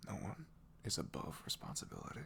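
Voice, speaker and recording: A man playing an apologetic, vulnerable ex-boyfriend in an intimate ASMR roleplay, with a breathy half-whisper and a hesitant, emotional delivery. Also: fairly quick, a little hiss or hum, natural voice. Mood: earnest, pained.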